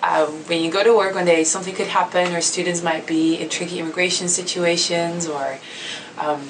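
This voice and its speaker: A woman's voice: on the low side, fairly even intonation, fairly quick. A woman, chatting easily with students about her work as an international student coordinator, with plenty of fillers. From Netherlands. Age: 30s. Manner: easygoing and chatty, with many fillers and frequent laughter. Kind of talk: casual self-introduction to students. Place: classroom. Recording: slightly distant mic with faint background noise.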